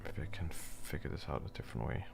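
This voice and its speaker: A man's voice: very deep voice, fast talker, gravelly. A young man on a headset mic, mumbling and thinking aloud while he works on a livestream. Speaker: livestreaming 3D artist. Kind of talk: casual streaming chat while modeling.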